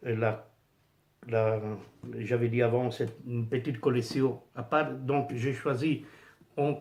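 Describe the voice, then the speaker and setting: Italian-accented man, middle-aged, unhurried, hesitant collector vlogger, mid-pitched. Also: darkish tone. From Italy. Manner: hesitant, many fillers and restarts, searching for words. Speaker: YouTuber showing his pin collection. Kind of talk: casual unscripted vlog.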